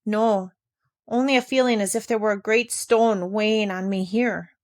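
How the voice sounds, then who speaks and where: A woman's voice: medium pitch, fairly even intonation, normal speed, darkish tone, close to an everyday voice. A woman reading aloud voices a frightened, homesick little girl in a storybook who talks in a small, hesitant, tearful way.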